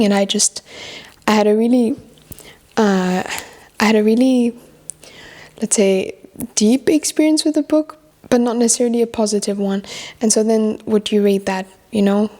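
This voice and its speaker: A woman's voice: mid-range voice, vocal fry, a little mellow. A young woman whose mother tongue is French, chatting casually about her reading and restarting sentences as she thinks aloud. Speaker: book vlogger. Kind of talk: casual book review chat.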